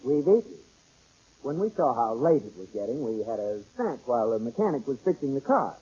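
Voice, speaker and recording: A man's voice: mid-range voice, very animated, a little fast, mellow, husky. An actor playing a long-suffering husband in a 1940s radio sitcom, polite to company and exasperated with his wife. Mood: politely covering. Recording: dull, noisy old radio recording.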